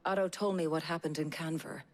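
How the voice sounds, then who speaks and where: An actress playing a stern, dryly sardonic healer in a fantasy video game. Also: female voice, deep voice, steady tone, lightly acted. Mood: stern, concerned. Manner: sardonic, brisk, disapproving. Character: dry, stern woman healer in a fantasy hideaway. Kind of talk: cinematic video game acting.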